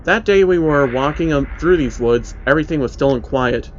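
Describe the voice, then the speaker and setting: A man's voice: a little high, normal speed, a little hiss or hum. Man narrating a story, slightly dull audio. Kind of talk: scripted dramatic story narration. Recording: dull lo-fi audio under music.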